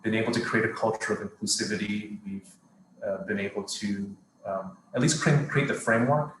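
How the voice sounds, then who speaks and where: Low-voiced man in a roomy room. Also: fairly even intonation, normal speed, background noise. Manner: thoughtful, many fillers and restarts. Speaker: TV studio executive. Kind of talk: interview answers. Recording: roomy echo.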